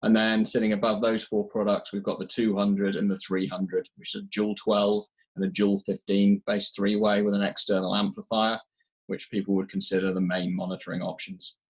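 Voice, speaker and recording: A man giving technical answers in an interview, measured and explanatory, pausing to find his words. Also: fairly low pitch, flat delivery, husky, poor audio. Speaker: audio company representative being interviewed.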